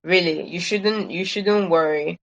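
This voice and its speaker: A woman's voice: on the low side, unhurried, creaky, lo-fi sound. A woman giving an informal online presentation, advising in an earnest, emphatic way and repeating her points. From Nigeria.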